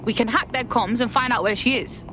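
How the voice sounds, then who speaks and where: A woman's voice: a little high, brisk, noisy background, lightly acted. A woman playing a cocky, tough young hacker rebel in a video game, blunt and slangy, with a British accent. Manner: blunt, slangy, laid-back.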